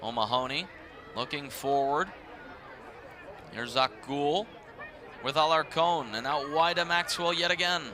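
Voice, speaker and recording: A man's voice: on the high side, measured pace, pauses often, crowd noise. A man calling live sports play-by-play in a live commentary style. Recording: noisy live stadium broadcast. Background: stadium ambience.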